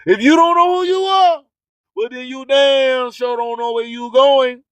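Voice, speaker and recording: A man's voice: extremely high pitch, unhurried, fairly bright tone, clean tone, dry recording. A man hosting a radio show, delivering an emphatic, preachy motivational monologue full of streetwise slang and repeated rhyming slogans. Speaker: radio host.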